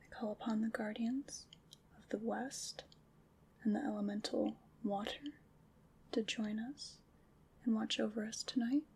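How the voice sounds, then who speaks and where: A woman playing a calm witch in an ASMR roleplay, leading a ritual in a hushed, soothing, deliberate whisper. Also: on the high side, gravelly, slight background noise, poor audio. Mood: solemn, incantatory.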